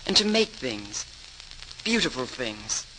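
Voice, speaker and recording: A man's voice: medium pitch, sing-song, slow. A man acting an earnest, eager nine-year-old boy with a youthful voice in an old radio drama. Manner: eager, earnest, wide-eyed. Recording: hissy 1940s radio recording.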